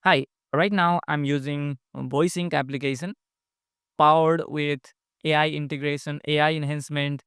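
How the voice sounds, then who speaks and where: A young man from Nepal, a developer casually walking through a software update in a small room. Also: a little high, fairly even intonation, normal speed, smooth, dry recording, clean studio sound. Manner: plain, matter-of-fact explaining. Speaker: app developer. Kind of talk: casual screen-recorded product update.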